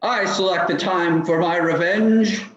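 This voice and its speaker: A man's voice: high voice, unhurried, crowd noise. A man playing the menacing ghost of an old English knight in a radio drama, declaiming pompously in archaic speech. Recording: echoing, slightly muffled sound.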